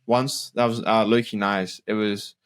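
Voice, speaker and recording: A man's voice: fairly low pitch, little pitch movement, measured pace, clean tone. A young man from Australia, a podcast co-host, joking and rambling in casual slangy chat. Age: young adult.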